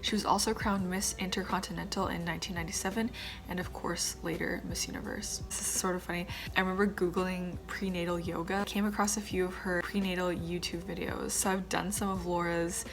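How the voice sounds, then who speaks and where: A young woman with a lowish voice talks casually to her camera, chatty and friendly, now and then muttering quiet asides. She sounds a little stuffed up, and soft music plays faintly behind her. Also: flat delivery, a little fast. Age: 20s. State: slightly stuffed up. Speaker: vlogger, former pageant contestant.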